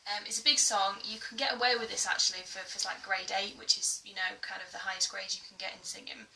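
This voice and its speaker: A woman's voice: medium pitch, fairly even intonation, fast talker, creaky, bright, forceful tone. A British woman giving an informal singing tutorial, chatty and a little hesitant, with a self-deprecating way of talking. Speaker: singing teacher. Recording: echoey room, distant mic, music behind.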